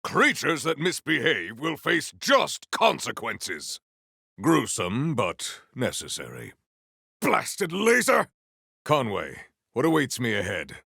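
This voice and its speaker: An actor playing a proud, formal, honor-bound warrior in a video game, with archaic, theatrical diction. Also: male voice, mid-range voice, gravelly, sharp tone, breathy edge, no room sound, clean studio sound, heavily acted. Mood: grim, resolute, then exclaiming, indignant.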